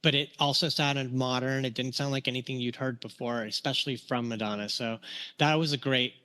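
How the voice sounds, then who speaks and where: A man's voice: mid-range voice, fairly even intonation, fairly quick, sharp tone, clear, resonant tone. A man chatting casually as a panelist on a podcast. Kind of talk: casual chat.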